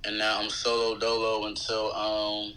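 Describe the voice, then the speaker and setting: Bright, forceful Philadelphia man chatting. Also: fairly low pitch, measured pace, clear, resonant tone, low-quality recording. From West Philadelphia, USA. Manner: colloquial, slangy, animated retelling with quoted dialogue. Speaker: rapper, interview guest. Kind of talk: casual chat, storytelling.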